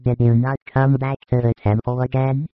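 A man voicing a compassionate, authoritative male spiritual teacher who speaks in a solemn, measured, reassuring way. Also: medium pitch, level tone, no echo, narrow, tinny audio, lightly acted. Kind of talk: audiobook reading of a religious narrative.

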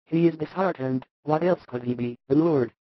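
A man voicing an anxious, devoted wife who pleads softly for her sick husband in a dramatised scripture story. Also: a little high, normal speed, dry recording, dull audio, lightly acted. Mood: imploring. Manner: soft, imploring.

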